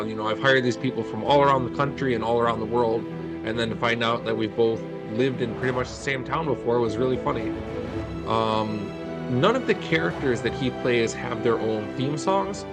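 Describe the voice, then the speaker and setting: An American man with a mid-pitched voice hosts a casual interview. He talks conversationally as the channel's host, with music clearly audible behind him. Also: moderate pace. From northern Wisconsin, United States. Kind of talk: casual spoken introduction and interview chat.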